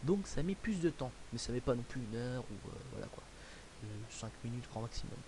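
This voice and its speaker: A man's voice: mid-range voice, animated, fairly quick. A young man from France talking through a computer tutorial in a casual, rambling way, full of fillers and restarts. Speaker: tutorial maker. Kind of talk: casual screen-recorded tutorial. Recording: hissy home recording.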